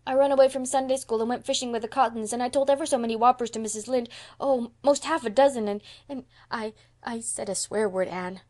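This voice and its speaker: A woman's voice: a little high, expressive, moderate pace, pitched above the performer's own voice, close to an everyday voice. A woman voicing a small mischievous boy in an audiobook, earnest, guilty and blunt, with childish, emphatic grammar slips. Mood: miserable, pleading. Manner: childish ungrammatical speech, emphatic. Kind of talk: audiobook character voice.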